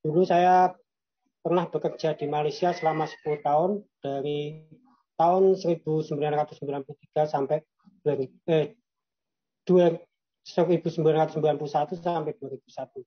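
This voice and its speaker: A man from Yogyakarta telling his own business story in a halting way, with many fillers and restarts. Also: a little high, fairly even intonation, highs cut off. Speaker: guest entrepreneur. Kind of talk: personal storytelling.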